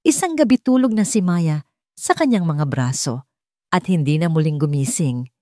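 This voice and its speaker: Low-pitched woman narrating a dramatic story. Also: lively intonation, normal speed, mellow, no echo, studio quality.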